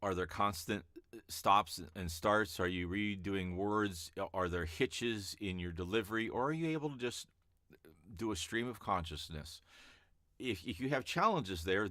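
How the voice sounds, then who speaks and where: A man coaching voice-over hopefuls in a relaxed, chatty teaching talk with a calm, easy-to-listen-to voice. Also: low voice, moderate pace, dry recording, studio quality. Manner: relaxed and chatty, with fillers and restarts. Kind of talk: casual teaching vlog.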